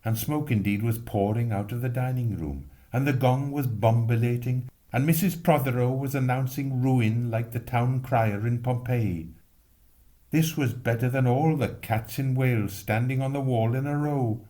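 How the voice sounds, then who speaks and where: A man reading a literary story aloud with expressive narration. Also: mid-range voice, normal speed. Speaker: reader.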